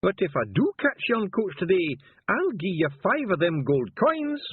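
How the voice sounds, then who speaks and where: Bossy high Scottish passenger. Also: male voice, sing-song, moderate pace, no room sound, heavily acted. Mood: gruff. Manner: gruff, bossy, threatening. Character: pushy rich male passenger with broad Scots speech. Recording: dry tinny phone-like sound.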